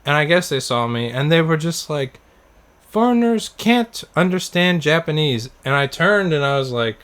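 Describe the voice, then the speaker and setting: A man's voice: a little high, lively intonation, moderate pace, a bit bright, smooth. A man co-hosting a podcast in casual, informal chat, telling anecdotes and acting out what people said. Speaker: podcast co-host.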